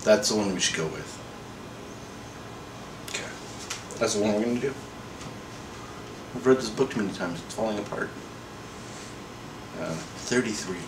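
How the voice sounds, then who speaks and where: A middle-aged man in a folksy, unhurried manner, chatting casually and reading a story aloud. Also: fairly low pitch. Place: small indoor room. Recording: roomy echo, faint background noise.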